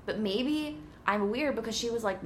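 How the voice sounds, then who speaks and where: A woman in her twenties hosting a casual podcast, loud and bubbly, laughing often. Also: on the high side, normal speed, slight background noise. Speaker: podcast host. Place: New York apartment room.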